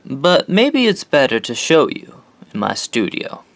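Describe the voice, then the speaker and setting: An actor playing an eager, devoted young painter and asylum patient, informal and fervent, in an audio horror drama. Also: male voice, on the high side, huge pitch swings, a little slow, creaky, dry recording, full character voice. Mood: eerie, inviting.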